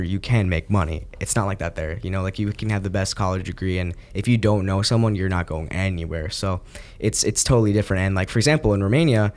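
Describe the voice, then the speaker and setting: Young man, low voice, podcast guest, earnest, reflective, halting. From United States. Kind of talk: casual interview.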